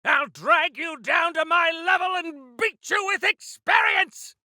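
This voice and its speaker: An actor playing a defiant, feisty old exiled warrior-engineer in a video game, gravelly and theatrical, with grandiose, archaic turns of phrase. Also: male voice, very high-pitched, unhurried, sharp tone, dry recording, shouting, clean studio sound, heavily acted. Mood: defiant, fiery. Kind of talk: video game character acting.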